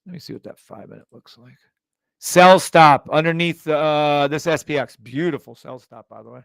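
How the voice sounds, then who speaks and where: A middle-aged man runs a live trading stream, barking out orders in short bursts and swearing freely. His voice is gravelly but smooth and resonant. The sound is dry and close, with no room echo. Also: fairly high pitch, moderate pace, fairly bright tone. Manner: brash, profane, calls out orders in bursts. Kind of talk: live trading commentary with viewers.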